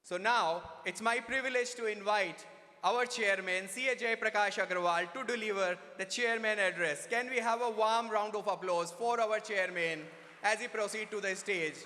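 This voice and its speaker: A man's voice: sits high, normal speed, sharp tone. A man emceeing a formal event, making announcements in a courteous, upbeat way. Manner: courteous and upbeat, building to an introduction. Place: large event hall. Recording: long hall reverb.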